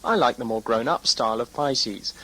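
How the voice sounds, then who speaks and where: A man's voice: medium pitch, moderate pace, sharp tone, slight background noise, poor audio. A man presenting in a chatty, scripted style, reading letters aloud expressively as host. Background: music.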